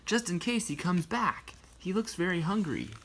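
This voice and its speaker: A kind young boy from a picture book, voiced by a man reading aloud. The voice is lifted high and bright, thinking out loud in a gentle, hopeful way. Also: expressive, normal speed, vocal fry, exaggerated voice. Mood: hopeful musing.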